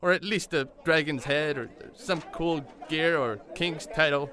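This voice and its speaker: A man's voice: on the high side, fairly even intonation, unhurried, sharp tone. A man playing a pompous, vain, slightly dim young hero on a quest in a comic audio drama. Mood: proud, determined. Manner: pompous, self-important.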